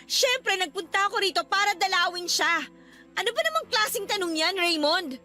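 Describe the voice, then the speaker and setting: A woman's voice: very high-pitched, expressive, normal speed, bright, forceful tone, faint music behind, heavily acted. A woman playing a defiant, pleading younger sister in a radio drama, protesting indignantly. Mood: indignant, pained. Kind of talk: Tagalog radio drama acting.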